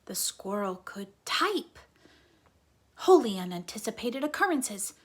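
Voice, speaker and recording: A woman reading a children's book aloud as a dramatic storyteller, often dropping into a breathy hush. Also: mid-range voice, big pitch swings, unhurried. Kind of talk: expressive children's book narration.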